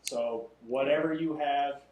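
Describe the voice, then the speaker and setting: A man's voice: medium pitch, fairly even intonation, unhurried, muffled recording. Guy hosting a chill podcast, encouraging tone, echoey room, mic a bit far. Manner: encouraging, advice-giving. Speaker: podcast host. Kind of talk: casual interview chat. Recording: roomy echo, mic at a distance.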